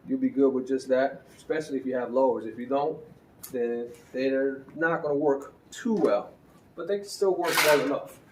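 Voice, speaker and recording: A man's voice: medium pitch, moderate pace, recorded from a distance, slight background noise. A man giving a casual, conversational gear review as a motorcycle vlogger, with easy fillers and restarts. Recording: roomy, from a bit off the mic, faint ambience.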